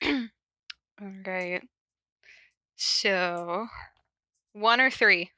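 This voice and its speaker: A woman's voice: mid-range voice, extremely slow, bright, forceful tone, clear, resonant tone, no room sound, studio quality. A woman hosting a podcast in a casual, giggly, self-mocking and informal way, introducing readings. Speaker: podcast host introducing fan fiction readings. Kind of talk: casual chat with read-out summaries.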